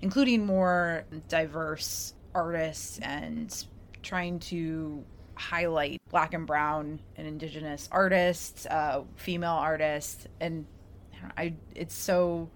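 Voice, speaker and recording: A woman's voice: low-pitched, steady tone, slow pace. A woman hosting a podcast interview in a casual, conversational way. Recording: clear background noise.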